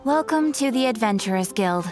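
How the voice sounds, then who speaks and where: Woman playing courteous high-voiced guild receptionist. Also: lively intonation, natural voice. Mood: polite, businesslike. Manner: formal, measured, polite. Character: courteous, businesslike female adventurers guild receptionist. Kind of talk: anime video game voice acting. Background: game music.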